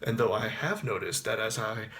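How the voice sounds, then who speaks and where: A man's voice: normal speed, a bit bright. Rambling Texan man in his thirties. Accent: Texas. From Texas. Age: 30s. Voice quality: baritone. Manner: rambling, with frequent restarts and fillers. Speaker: actor and singer. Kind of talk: casual spoken reflection to camera.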